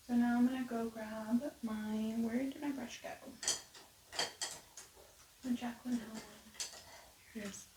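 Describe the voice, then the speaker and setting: A woman losing her voice, chatting casually and rambling through a makeup tutorial with soft near-whispered asides. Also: fairly high pitch, echoey room, a little hiss or hum, rough recording. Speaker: beauty vlogger. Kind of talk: casual get-ready-with-me makeup chat. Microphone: close mic at desk.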